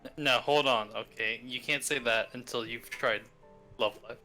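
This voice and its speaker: Teasing man co-host, bright voice. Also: medium pitch, steady tone, moderate pace. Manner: teasing, dry interjections. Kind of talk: casual stream chat. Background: faint music.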